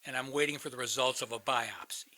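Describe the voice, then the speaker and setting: A man's voice: mid-range voice, quick pace, bright, forceful tone, close to an everyday voice, low-quality recording. An actor playing a bitter, sardonic man in a radio play, blunt and sarcastic. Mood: scornful, bitter. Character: bitter, sardonic man, crisis counselor posing as suicidal caller.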